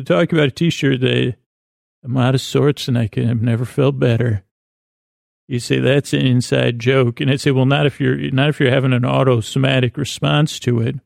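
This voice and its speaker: A man's voice: mid-range voice, vocal fry, a little mellow, dry recording, clean studio sound. A man hosting a podcast with a meandering, silly bedtime monologue, mumbling, stammering and repeating words as he goes. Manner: silly, self-deprecating. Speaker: podcast host. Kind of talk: rambling, whimsical bedtime monologue.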